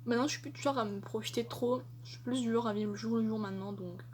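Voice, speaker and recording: A woman's voice: on the high side, steady tone, fast, darkish tone, slight background noise. A young woman answering tag questions in a casual vlog, chatty and hesitant with many fillers. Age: young adult. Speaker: vlogger.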